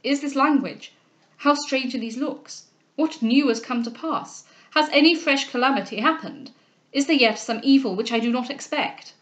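Woman performing ardent young gentleman, bewildered, impassioned, pleading, formal period speech, audiobook drama. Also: fairly high pitch, moderate pace, natural voice. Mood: astonished alarm. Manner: impassioned, formal, rhetorical questioning. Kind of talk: dramatic reading of a classic novel.

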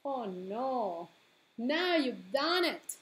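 A middle-aged woman hosts a bilingual storytime as a children's librarian. Her voice sits a little high and she goes very slowly and deliberately through the picture book. She reads expressively for preschoolers in a living room at home. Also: low-quality recording. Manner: expressive, deliberate, switching between English and Spanish.

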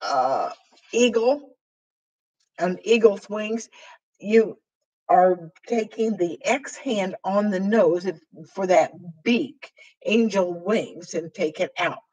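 Older woman, weak husky voice, slow. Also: mid-range voice, expressive, darkish tone, lo-fi sound. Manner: slow, halting, with frequent fillers while signing. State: voice weakened and hoarse after throat intubation. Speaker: sign language teacher. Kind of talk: slow explanatory sign language lesson. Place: small room with dark curtain backdrop, indoors.

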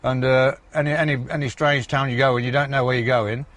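A man's voice: medium pitch, steady tone, brisk, a bit bright. An English man in his sixties, a truck driver, chatting casually in his cab. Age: 60s. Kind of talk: casual chat.

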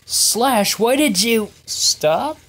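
A woman's voice: fairly high pitch, expressive, extremely slow, voice pushed up, exaggerated voice. Woman voicing an eager, swooping little cartoon character. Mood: puzzled. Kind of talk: amateur cartoon fan dub. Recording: noisy amateur screen-recorded dub.

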